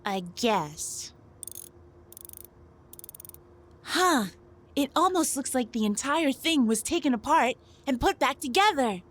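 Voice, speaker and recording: Irritable robot girl engineer, played by a woman: high, animated, snippy, cartoon sci-fi. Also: unhurried, full character voice. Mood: focused, suspicious. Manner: snippy, exasperated, sarcastic. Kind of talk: scripted sci-fi cartoon audio drama.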